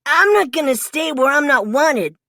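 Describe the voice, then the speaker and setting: A woman voicing an excitable, earnest, goofy young male turtle for a children's storybook. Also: high voice, moderate pace, no echo, studio quality, voice pushed up, exaggerated voice. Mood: sad, hurt.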